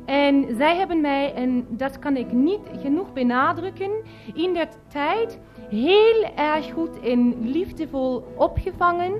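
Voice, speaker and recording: An actress playing an earnest young German lodger in a radio play, speaking carefully and haltingly. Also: female voice, sits high, animated. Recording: dull lo-fi radio recording, faint music.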